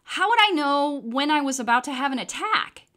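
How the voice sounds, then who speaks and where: A woman reading a children's book aloud in an expressive storytelling style. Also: high-pitched, normal speed. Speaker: storybook reader.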